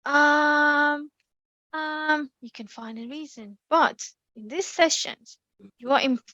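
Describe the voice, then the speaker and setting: A young woman with an Indonesian accent, giving a lively online class talk with many ums and restarts. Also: high voice, takes it slowly, dry recording, dull audio. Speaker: community speaking presenter. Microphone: computer mic on a video call.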